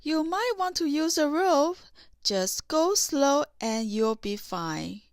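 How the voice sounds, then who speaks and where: A woman voicing a kind, steady young mountain man with a firm, reassuring manner in a storybook. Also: sits high, takes it slowly, no echo, studio quality, heavily acted. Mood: easygoing, reassuring. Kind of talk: children's storybook character reading.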